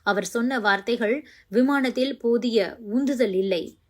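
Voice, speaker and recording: A woman's voice: fairly high pitch, unhurried. A woman reading scripted news narration as a news narrator.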